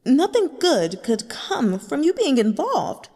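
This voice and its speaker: A woman's voice: high voice, sing-song, takes it slowly, dark tone, long reverb tail, voice pushed up, exaggerated voice. A woman voicing a scheming young woman cultivator who talks in a flustered, pleading way.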